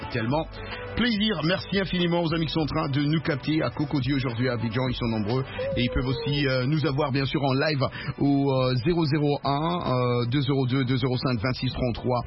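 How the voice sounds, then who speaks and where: A man hosting a live call-in radio show from a studio, with lively, playful banter. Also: on the high side. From New York. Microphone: studio microphone. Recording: dull broadcast audio with music.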